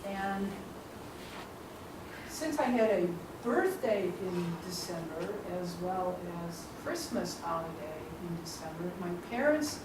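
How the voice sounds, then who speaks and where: Woman speaking far off in an echoey room. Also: fairly low pitch. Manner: reflective, halting at the start. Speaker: meeting participant answering a question. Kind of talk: impromptu answer at a speaking club. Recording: distant mic in an echoey room with noise.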